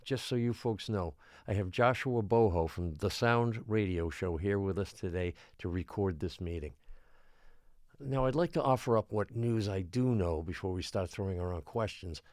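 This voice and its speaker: Small-town mayor, male, low register, dry recording. Formal, measured, placating public speaker under pressure, acted for an audio drama. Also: a little mellow, dry recording, close to an everyday voice. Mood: grave, measured. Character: beleaguered small-island mayor trying to calm frightened residents.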